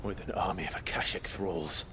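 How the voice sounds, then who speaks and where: A man playing a grim, defiant warrior hero in a video game, gruff and terse. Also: sits low, fairly even intonation, moderate pace, whispered. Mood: grim anger. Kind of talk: dramatic video game acting. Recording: loud game music behind.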